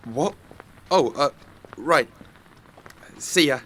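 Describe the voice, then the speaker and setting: An actor playing a sheepish, excitable young video maker in an audio drama, hesitant, apologetic and easily flustered. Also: male voice, medium pitch, extremely slow, a little hiss or hum.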